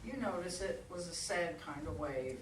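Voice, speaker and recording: A woman's voice: fairly low pitch, animated, normal speed, lightly acted. A woman in a staged play reading, acting an exasperated, defensive woman who argues curtly with a pest. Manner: indignant, curt, argumentative. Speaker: amateur play reader. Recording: distant roomy recording with faint noise.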